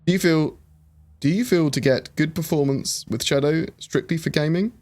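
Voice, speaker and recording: A man's voice: fairly high pitch, normal speed, a little mellow. Chatty man answering livestream chat. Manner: chatty, reads viewer questions aloud and answers with many fillers. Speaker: streamer answering chat questions. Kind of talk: casual livestream Q&A.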